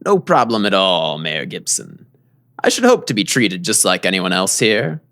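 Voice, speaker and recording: Man playing a gravelly, secretive plant boss. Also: low voice, very animated, fairly bright tone, exaggerated voice. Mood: polite, formal. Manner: measured, formal, guarded. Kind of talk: audio drama acting.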